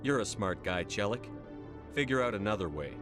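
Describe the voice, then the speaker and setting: A man playing a calm, direct military commander in a video game, speaking firmly and levelly. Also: fairly low pitch, moderate pace, over music, close to an everyday voice. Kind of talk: game voice acting.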